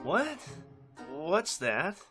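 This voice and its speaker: Audio drama role: boisterous young axe fighter, airheaded and cocky, actor-played, very animated, music underneath. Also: medium pitch, very slow, full character voice. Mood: casual. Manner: boisterous, cocky, speaks of self in third person. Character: brash, dim, cheerful young male axe fighter. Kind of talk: fan audio drama acting.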